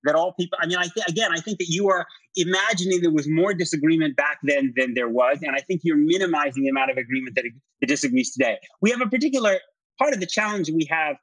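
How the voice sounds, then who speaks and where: A man's voice: a little high, fast, a bit bright, dry recording, poor audio. A middle-aged man arguing politics as an interview guest, insistent and often repeating phrases as he presses his point. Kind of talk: heated political debate.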